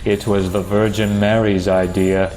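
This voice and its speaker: A deranged male killer acted by a man confesses in an audio drama. The voice is very low and drags slowly, bitter and numb, with clear music behind. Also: flat delivery, darkish tone, lightly acted, rough recording. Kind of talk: comic-book audio drama acting.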